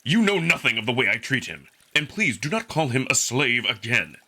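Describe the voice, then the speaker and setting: A man's voice: medium pitch, big pitch swings, moderate pace, bright, forceful tone, exaggerated voice. A man voicing a big, hot-tempered, protective male wolf alien in a visual novel, speaking in a stern, curt, indignant way. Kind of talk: visual novel character acting.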